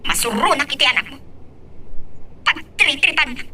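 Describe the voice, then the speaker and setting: A woman playing a sharp-tongued, suspicious woman in an audio drama, pointed and quick to accuse. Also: high-pitched, animated, distant mic, full character voice. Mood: accusing. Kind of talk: Ilocano radio-style drama acting.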